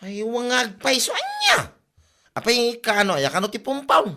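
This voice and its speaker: A man playing an excitable, grumbling village husband in an Ilocano-accented comedy audio drama. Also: very high-pitched, unhurried, exaggerated voice. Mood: excited, incredulous.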